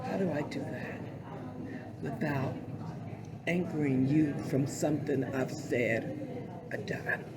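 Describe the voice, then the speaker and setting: Hoarse woman, slow and low-voiced. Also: over a noisy crowd. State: hoarse voice after illness. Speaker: podcast guest, community leader. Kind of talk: conversational interview.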